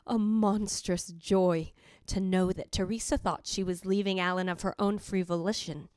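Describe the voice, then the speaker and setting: A woman playing the jealous, brooding ghost of a dead wife, narrating in a deliberate, literary old-time radio drama style. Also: mid-range voice, no room sound, studio quality, lightly acted. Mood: guilty, gloating.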